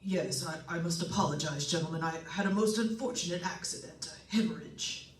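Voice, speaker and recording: Casting: courteous, ailing doctor for radio drama, male actor, high-voiced, halting and formal, recorded in a roomy space. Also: a little hiss or hum, close to an everyday voice. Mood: weak, apologetic. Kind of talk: old-time radio drama acting. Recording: roomy, far from the mic.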